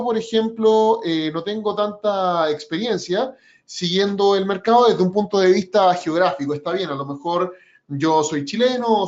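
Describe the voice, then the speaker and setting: High-voiced man giving a trading webinar. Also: dull audio. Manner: measured, explanatory, with frequent "eh" and "por ejemplo". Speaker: webinar presenter and trading educator. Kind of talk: educational webinar presentation.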